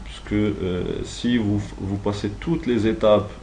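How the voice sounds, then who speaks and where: Low, dark-voiced male trainer explaining steps. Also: steady tone, takes it slowly, slight background noise. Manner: didactic, step-by-step, checks understanding. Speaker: trainer teaching call-centre phone technique. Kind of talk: instructional course explanation, semi-improvised.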